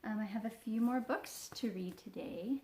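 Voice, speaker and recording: A woman reading a children's picture book aloud as an informal, expressive storyteller who stretches key words for young listeners. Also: a little high, normal speed, husky. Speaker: read-along storyteller.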